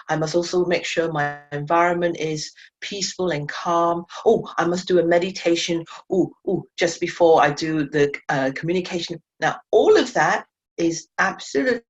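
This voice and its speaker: Woman instructor, English not her first language, lowish voice, dull lo-fi call audio, earnest and reflective. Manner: earnest, reflective, with self-corrections. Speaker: animal communication teacher. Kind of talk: webinar talk to learners. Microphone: video call mic.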